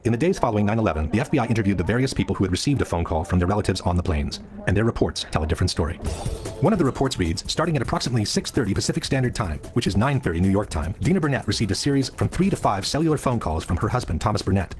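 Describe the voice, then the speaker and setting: Guy narrating a documentary super fast, kinda low voice, scripted, music in the back. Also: very animated, darkish tone. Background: background music.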